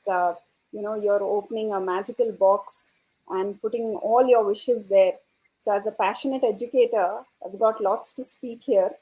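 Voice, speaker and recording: A woman's voice: mid-range voice, warm tone, slightly rough, poor audio. A woman speaking as an educator on a panel, explaining her ideas. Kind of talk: explanatory panel discussion talk.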